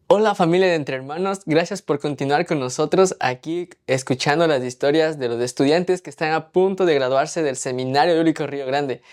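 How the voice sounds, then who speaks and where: A woman's voice: sits low, brisk, few pauses, darkish tone. A woman hosting a faith podcast, asking interview questions in an encouraging, conversational way.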